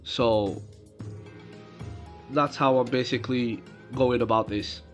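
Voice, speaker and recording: A man's voice: medium pitch, fairly even intonation. A man with a cold and a nasal voice, casually commenting on gameplay for his channel, with fillers. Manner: slow, rambling, many fillers. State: has a cold coming on. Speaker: gaming YouTuber. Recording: game music behind voice. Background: game music.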